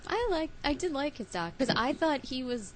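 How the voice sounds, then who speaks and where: Chatty woman over loud hiss. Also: sits high, moderate pace. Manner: animated, fillers like "like". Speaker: podcast co-host. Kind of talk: casual podcast chat.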